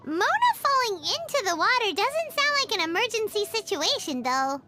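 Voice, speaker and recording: A woman voicing a tiny, excitable, pouty fairy companion in a childlike, squeaky voice for a video game. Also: moderate pace. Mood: chatty, curious. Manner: refers to self in third person, exclamatory. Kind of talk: animated game voice acting. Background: background music.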